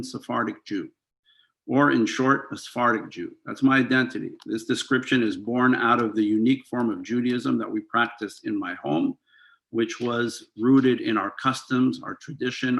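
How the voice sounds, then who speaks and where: A man's voice: on the low side, creaky, fairly bright tone. A man in his fifties, a rabbi, speaking earnestly and reflectively on a video call panel, with frequent ums and uhs. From United States. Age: 50s.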